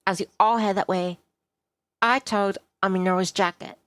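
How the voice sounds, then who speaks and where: A woman's voice: medium pitch, steady tone, normal speed, dry recording. A woman reading a visual novel aloud expressively, as a streamer narrating a story. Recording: music behind the voice. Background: soft guitar music.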